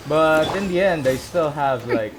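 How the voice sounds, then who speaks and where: A man's voice: on the high side, expressive, a little slow, noisy background. A man giving casual gameplay commentary, with offhand, muttered reactions. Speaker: gaming video host. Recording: loud game audio behind the voice. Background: video game music and dialogue.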